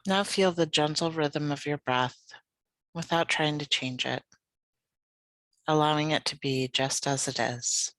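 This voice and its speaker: A yoga nidra guide leading a guided meditation in a gentle, soothing, unhurried manner. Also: mid-range voice, fairly even intonation, dry recording, low-quality recording.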